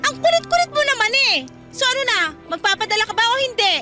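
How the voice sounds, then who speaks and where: A woman's voice: very high-pitched, lively intonation, quick pace, music in the background. A woman playing a brash, manipulative young woman with a pushy, dramatic manner in a radio drama. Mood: defiant. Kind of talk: Filipino radio drama dialogue.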